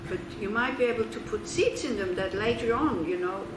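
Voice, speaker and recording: A woman's voice: mid-range voice, brisk. Woman in a roomy, distant talk. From Switzerland. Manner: chatty, laughing asides, rhetorical questions. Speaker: Buddhist nun giving a talk. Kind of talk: informal teaching talk. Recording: roomy, distant mic, background noise.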